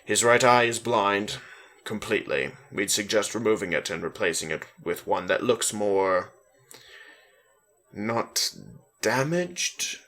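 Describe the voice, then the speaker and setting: A man voicing a composed male doctor, firm but trying to be kind, speaking in a measured clinical way in a story reading. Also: on the low side, animated, fairly bright tone.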